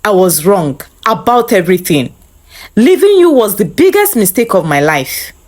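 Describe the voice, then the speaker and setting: A regretful ex-husband in a narrated story, voiced by a woman, pleads softly and earnestly for another chance. The voice is animated, with wide swings in pitch. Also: moderate pace. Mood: regretful, pleading. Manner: soft, earnest.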